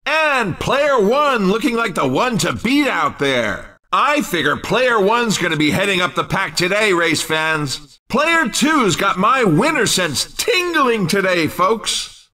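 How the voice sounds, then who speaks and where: An actor playing an excited, jokey racing commentator in a video game, with punchy, showy delivery. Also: male voice, sits high, recorded from a distance, heavily acted. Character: hyped, jokey male arcade racing commentator. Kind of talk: excited sports commentary voice lines.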